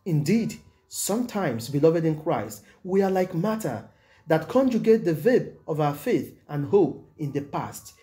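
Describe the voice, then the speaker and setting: Mellow-voiced priest with lively intonation. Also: male voice, a little high. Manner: measured, solemn, formal delivery. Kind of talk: scripted homily. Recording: music behind the voice. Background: background music.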